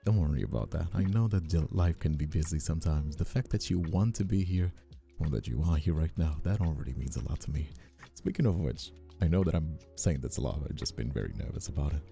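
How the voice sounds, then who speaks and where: A man in his twenties who sounds older than his years, chatting casually on a livestream in a halting, rephrasing way. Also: extremely low pitch, vocal fry, dark tone, faint music behind. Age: 20s. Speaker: ASMR streamer and voice actor.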